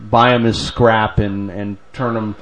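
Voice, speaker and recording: A man's voice: lowish voice, level tone, measured pace, fairly bright tone, dull audio. Guy hosting a call-in hobby show, stammers into his points, pretty loose and chatty. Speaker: host of a pinball show. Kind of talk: casual call-in chat.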